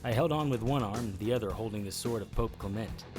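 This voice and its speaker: A tough, wisecracking monster hunter voiced by a man narrates an audio drama in a low register. The delivery is dry, wry and noir, with punchy phrasing. Music plays clearly behind the voice. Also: a little fast, lightly acted. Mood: tense narration. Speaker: lead actor narrating in first person. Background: music bed under the voice.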